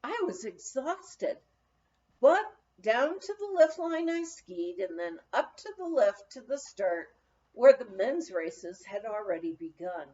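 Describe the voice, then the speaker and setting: A woman's voice: a little high, sing-song, takes it slowly, darkish tone. A woman reading a memoir aloud as scripted narration, in a slightly dull recording. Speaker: audiobook reader.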